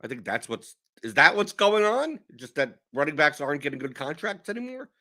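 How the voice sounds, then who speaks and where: A young man, hoarse with a sore throat, hosting a casual fantasy sports livestream, rambling and cutting himself off. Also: a little high, a little fast. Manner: animated, rambling, self-interrupting. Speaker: host of a fantasy sports stream.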